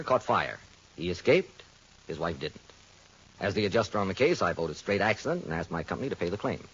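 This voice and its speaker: An American actor playing a brisk, insistent insurance man who flies planes, urgent and persuasive, in vintage radio drama style. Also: lowish voice, a bit bright, husky, exaggerated voice. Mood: low, persuasive. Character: brisk, insistent male insurance adjuster and pilot. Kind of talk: 1940s-50s radio drama acting. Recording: muffled, noisy old radio recording.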